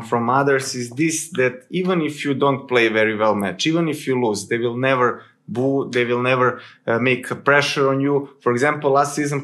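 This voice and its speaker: Halting male host. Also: medium pitch, a bit bright. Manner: careful and halting, many "uh" pauses. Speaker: podcast host. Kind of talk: interview questions.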